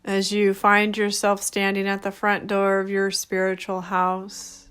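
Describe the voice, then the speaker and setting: Slow, even-toned woman guiding meditation. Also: medium pitch, smooth. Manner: gentle, soothing, unhurried with short phrases. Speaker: meditation guide. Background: background music.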